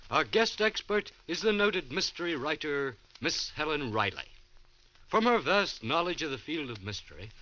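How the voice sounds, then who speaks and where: A man's voice: fairly high pitch, pushed and bright. A man hosting and narrating a 1940s radio mystery in a formal, dramatic scripted style. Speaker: host and narrator. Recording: dull vintage radio recording, music behind.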